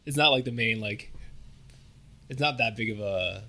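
A young student hosting a casual interview, speaking with frequent fillers like "like" and "um". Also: male voice, lowish voice, moderate pace, pushed and bright. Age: young adult. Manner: filler-heavy, uses "like" often. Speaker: podcast host, student.

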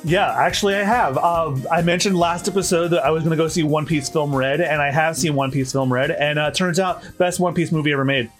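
A man hosting a casual podcast, chatting in a rambling way with restarts, his voice slightly hoarse. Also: a little high, fairly even intonation, brisk, few pauses, faint music behind. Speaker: podcast host.

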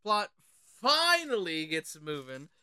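A podcast co-host talking casually and informally about films, animated and sweary. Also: mid-range voice, slow, clean tone, no room sound. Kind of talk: casual film discussion.

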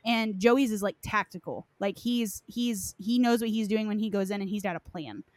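Female, mid-pitched, informal podcast-style chat, very dry recording. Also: moderate pace, a little mellow, dry recording. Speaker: podcast co-discussant on game lore. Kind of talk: casual chat.

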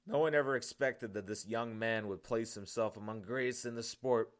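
A man's voice: medium pitch, normal speed, natural voice. A man voicing an impressed male sports announcer in an audiobook, emphatic and proclaiming. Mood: amazed.

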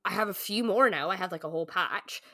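Woman in her twenties, lower-pitched, close dry sound. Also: fast talker, bright, forceful tone, no echo. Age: late 20s. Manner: informal, frequent "like" as filler, mild swearing. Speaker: podcast co-host. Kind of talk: casual book-club chat.

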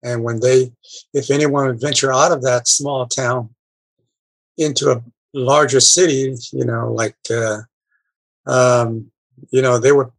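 A man talking in a casual group discussion, halting and full of fillers and restarts. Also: mid-range voice, gravelly, husky, dry recording, rough recording. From abroad. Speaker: discussion participant.

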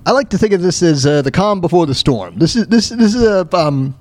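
A man's voice: high-pitched, big pitch swings, brisk, a little mellow, no room sound. A man hosting a talk show with casual, opinionated commentary, often stammering and repeating his phrases as he goes. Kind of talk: casual off-the-cuff radio commentary.

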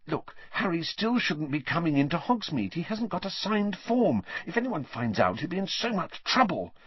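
A man's voice: big pitch swings, moderate pace, muffled recording, a loud crowd. A man voicing an anxious, rule-minded teenage schoolgirl in a storybook reading, in a fretful, pleading manner. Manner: fretful, pleading, high and breathless.

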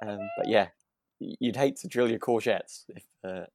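A man co-hosting a relaxed podcast, agreeable and a little rambling and hesitant as he talks. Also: on the low side, moderate pace, creaky, dry recording, studio quality. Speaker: podcast co-host. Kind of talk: relaxed casual discussion of a radio drama.

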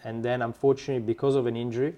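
A man with an Italian accent, a startup founder being interviewed, who talks haltingly with many fillers and restarts. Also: mid-range voice, little pitch movement, muffled recording. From Italy. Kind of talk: podcast interview.

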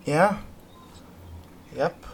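A man co-hosting a podcast, chatting casually about pop culture in a halting way with drawn-out words. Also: a little hiss or hum. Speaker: podcast co-host.

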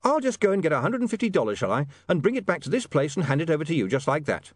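Man playing a wry, precise, lively gentleman. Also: on the high side, brisk, no echo, clean studio sound, voice pushed up. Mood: sceptical, dry. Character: dry, pedantic, quick-witted gentleman newspaperman.

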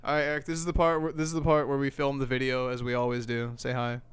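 A man's voice: mid-range voice, completely flat delivery, quick pace, smooth, no room sound. A young adult man co-hosting a podcast, bantering crudely with a brash, joking manner and swearing freely. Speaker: podcast co-host.

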